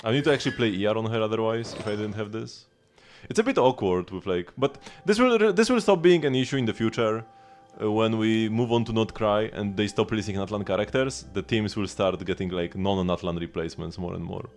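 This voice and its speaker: Low-voiced man livestreaming a game. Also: brisk. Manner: rambling, thinking aloud. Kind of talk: casual live gameplay commentary. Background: game music.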